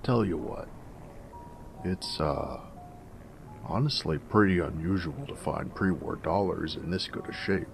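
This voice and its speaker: Man voicing a gravelly, very deep, snarky ghoul. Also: measured pace, long pauses, soft background music. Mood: scheming, bargaining. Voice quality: gravelly, low rasp. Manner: wry, slangy, chatty, drawling. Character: snarky, easygoing male ghoul, ex-bush pilot wasteland drifter. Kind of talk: character roleplay audio monologue in a post-apocalyptic game setting.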